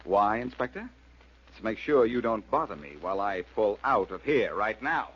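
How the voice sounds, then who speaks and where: Actor as deep-voiced, glib radio sleuth. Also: male voice, animated, normal speed, lightly acted. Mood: wry, cool. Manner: wry, glib, unflappable. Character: cool, quick-witted reformed safecracker turned sleuth, adult man. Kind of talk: 1940s radio drama acting. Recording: muffled, hissy old radio recording.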